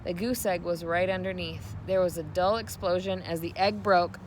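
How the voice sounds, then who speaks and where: A woman giving an expressive read-aloud narration of a children's novel. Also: lowish voice, normal speed, a little hiss or hum, poor audio. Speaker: storybook reader.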